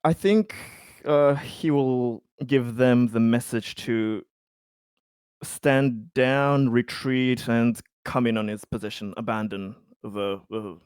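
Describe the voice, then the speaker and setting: A man's voice: mid-range voice, slow pace, darkish tone, clean tone, no echo. A man playing a tabletop game, chatting casually with informal, sweary, joking remarks.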